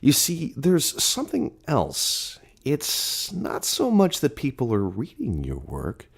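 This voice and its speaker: Earnest, expressive podcast interviewer. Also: male voice, medium pitch, slow pace, gravelly, breathy edge, exaggerated voice. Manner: polite, hesitant, earnest. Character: earnest, awkward male literary podcast interviewer. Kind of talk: audio drama acting.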